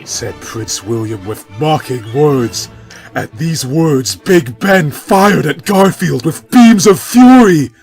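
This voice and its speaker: Animated male narrator of mock-epic comedy. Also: a little high, faint music behind. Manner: deliberately stilted, mock-epic phrasing. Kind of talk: comic dramatic story narration.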